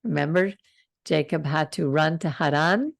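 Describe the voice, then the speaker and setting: Older lady leading scripture study on a video call, low gravelly voice, slow and calm, dry sound. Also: dry recording. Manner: slow, calm reading with side comments. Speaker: Torah study host. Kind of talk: reading scripture and teaching.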